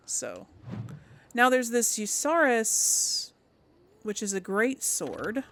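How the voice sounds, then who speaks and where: Unhurried woman giving casual game commentary. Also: mid-range voice, a bit bright. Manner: unhurried, thinking aloud. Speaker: gaming video host.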